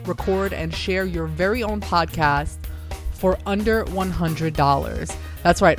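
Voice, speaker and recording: A woman hosting a podcast, reading her own promo upbeat and clearly before interviewing a guest. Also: fairly low pitch. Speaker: podcast host.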